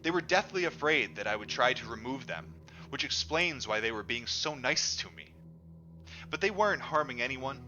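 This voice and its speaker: A man reading a book aloud as a storyteller, in an animated way that acts out the dialogue. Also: mid-range voice. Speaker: audiobook reader. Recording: dull lo-fi audio, music underneath. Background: music.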